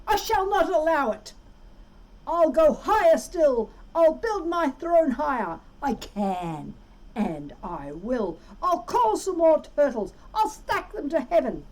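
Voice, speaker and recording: Storybook turtle king, played by a woman: pompous, bullying, commanding, high-pitched with big swoops. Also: sing-song, slow pace, full character voice, poor audio. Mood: indignant, defiant. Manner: grandiose, commanding, bellowing. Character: pompous, power-hungry male turtle king, boastful and bullying. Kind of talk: children's storybook read-aloud.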